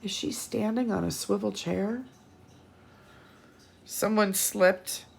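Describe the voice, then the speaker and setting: A woman reading a picture book aloud to children as a storytime host, animated and teacherly, with dramatic delivery and little asides. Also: medium pitch, slow, vocal fry, husky.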